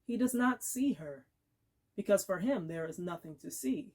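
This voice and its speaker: A woman giving a dramatic literary reading, measured and expressive, with deliberate pauses. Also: mid-range voice. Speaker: actor reading aloud.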